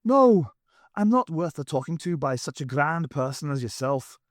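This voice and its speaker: A man voicing a sorrowful, pleading Irish space traveller with a high, strained voice and an Irish accent. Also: sing-song, moderate pace, dry recording, studio quality.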